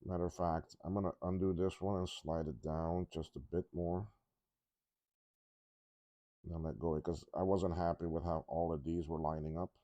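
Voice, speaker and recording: A man talking casually through a soldering job at his workbench, halting and rambling, his voice a little congested from a cough. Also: deep voice, flat delivery, warm tone, no echo. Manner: rambling, halting, with many restarts and ums. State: congested, slightly rough from a cough. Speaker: electronics repair hobbyist.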